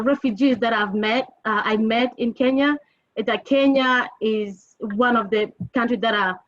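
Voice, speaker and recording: A woman from the Democratic Republic of Congo giving an earnest webinar presentation, speaking with frequent pauses and fillers. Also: a little high. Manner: earnest, grateful, halting with fillers. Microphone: video call. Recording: lo-fi video call audio.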